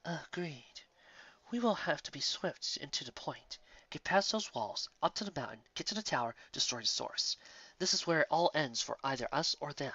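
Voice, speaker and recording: A man voicing a grave, quiet deer leader who speaks in solemn, deliberate phrases. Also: on the high side, lively intonation, slightly rough, no echo, muffled recording, full character voice. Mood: resolute.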